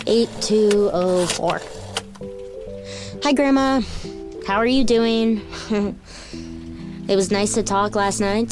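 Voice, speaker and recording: An actress playing a lonesome, awkward teenage granddaughter who rambles chattily in slang on a phone call, in an audio play. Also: female voice, medium pitch, close to an everyday voice. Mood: tender, wistful.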